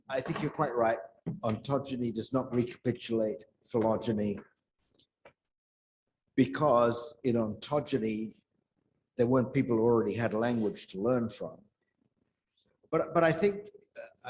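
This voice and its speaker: A man of average pitch offers an unscripted comment in a scholarly discussion. He words things hesitantly and diplomatically. There is faint ambient noise in the room. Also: darkish tone, low-quality recording. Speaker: audience questioner, researcher. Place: indoor conference room.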